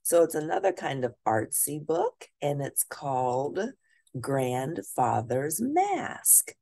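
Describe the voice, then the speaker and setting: A woman teacher reading a children's picture book aloud at a deliberate pace, pausing to ask her listeners questions. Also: sits low, wildly swooping pitch, vocal fry, warm tone, no echo.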